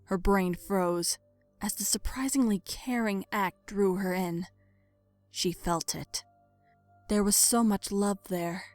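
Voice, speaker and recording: A woman's voice: mid-range voice, steady tone, takes it slowly, a little mellow, no room sound. A woman narrating a dramatic story from a script. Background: music.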